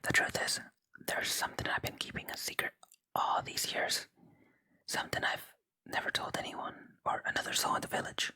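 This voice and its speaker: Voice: normal speed, bright, forceful tone, low-quality recording. Whispering fond village mother, acted by an ASMR streamer. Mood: hesitant, serious. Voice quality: breathy whisper. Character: fond village mother, proud and gently worried. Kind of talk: whispered game dialogue reading. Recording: close whisper with game music behind.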